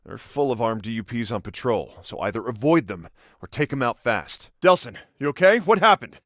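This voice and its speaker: An actor playing a protective adult American cop in a video game, sarcastic and exasperated, with a dry conversational manner. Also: male voice, mid-range voice, animated, moderate pace, fairly bright tone, no echo, close to an everyday voice. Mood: cautioning, firm. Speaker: police officer character in a video game.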